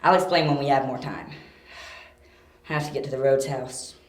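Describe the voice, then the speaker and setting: A young detective in an amateur radio play, acted by a woman, brisk and sure in the questioning. The voice sits low and has an echoey room around it. The delivery is terse, probing and self-assured. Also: fairly even intonation. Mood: intent, deducing. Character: sharp, curious young detective, confident and brisk. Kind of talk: amateur audio drama acting. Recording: roomy, echoey home recording.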